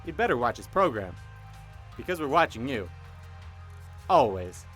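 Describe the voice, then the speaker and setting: A man's voice: mid-range voice, very animated, moderate pace, fairly bright tone, soft background music. A man playing a pompous, ominous announcer in a scripted horror podcast advert. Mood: menacing. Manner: theatrical, pompous. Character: grandiose, ominous male promo announcer of cosmic horror.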